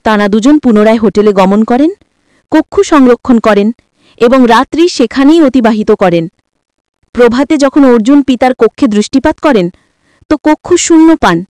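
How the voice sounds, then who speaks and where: A woman's voice: sits high, a little mellow, clean tone, dry recording. A woman narrating a scripted moral story in formal, literary wording, as a dramatic storyteller.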